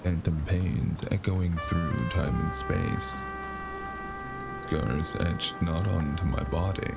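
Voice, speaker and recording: A man acting as a haunted, world-weary noir drifter with a very low, gravelly voice. He broods and delivers sardonic lines in an audio drama, with loud music behind him. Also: slow, exaggerated voice. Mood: brooding. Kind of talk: noir audio drama with first-person narration. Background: loud cinematic music.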